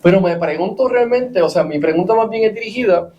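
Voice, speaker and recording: Male interviewer, somewhat high voice, hesitant and curious questions. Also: animated, fairly quick, lo-fi sound. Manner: thinks aloud, asks questions with hesitations. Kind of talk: conversational interview.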